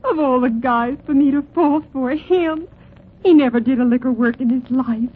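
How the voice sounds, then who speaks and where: A woman's voice: high-pitched, normal speed, husky. An actress playing a blunt, lovesick young woman from the gangland world in a slangy 1940s radio drama, on an old recording. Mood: bitter, frustrated. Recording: tinny, band-limited old radio recording.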